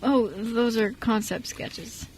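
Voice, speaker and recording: A woman playing a kind, soft-spoken adoptive father, hesitant and reassuring, in an amateur radio drama. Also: a little high, takes it slowly, a little hiss or hum. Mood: modest. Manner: hesitant, reassuring, mild stammer.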